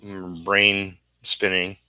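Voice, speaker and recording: Slow-talking man, bright forceful voice, halting. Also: low voice, monotone, smooth, dry recording, rough recording. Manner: halting, trailing off mid-thought. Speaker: comic book inker live streaming. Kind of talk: casual rambling livestream chat while drawing.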